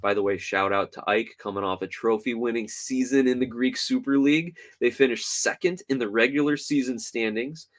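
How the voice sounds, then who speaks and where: A man hosting a soccer podcast solo, talking through results and rumors in an animated, opinionated way. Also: moderate pace, few pauses. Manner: animated, opinionated, swings from loud to low.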